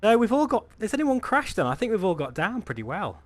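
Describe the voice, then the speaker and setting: A man's voice: high-pitched, very animated, fairly quick, no room sound. Chatty, upbeat man hosting a stream. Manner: chatty, laughs often, self-deprecating. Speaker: stream host. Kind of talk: casual live-stream chat.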